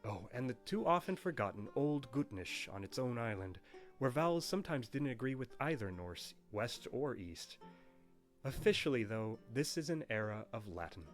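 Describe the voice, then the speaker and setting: A man's voice: medium pitch, sing-song, normal speed. A man narrating a scripted history of a language in a playful, wry storytelling manner. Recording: narration with faint background music.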